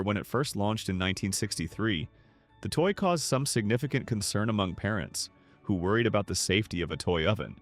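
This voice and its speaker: A man reading scripted nostalgic documentary narration. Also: fairly low pitch.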